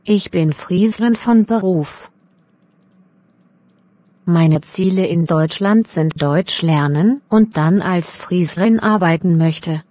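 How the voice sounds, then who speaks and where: A woman's voice: on the low side, a little mellow, no echo. A woman playing a careful young exam candidate. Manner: slow, careful learner's German. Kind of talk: scripted role-play dialogue.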